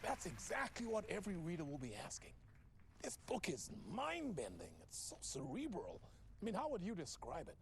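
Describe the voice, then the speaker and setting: An actor playing an exuberant, slick late-night TV host in a video game, bantering with showy, effusive chattiness. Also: male voice, high voice, huge pitch swings, moderate pace, background noise, heavily acted. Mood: showy enthusiasm. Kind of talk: theatrical talk show interview banter.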